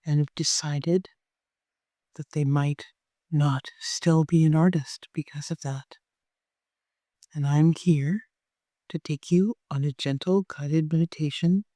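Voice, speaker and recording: Gentle, slow meditation guide with a mellow voice. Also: mid-range voice, dry recording. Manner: gentle, unhurried, softly spoken with long pauses.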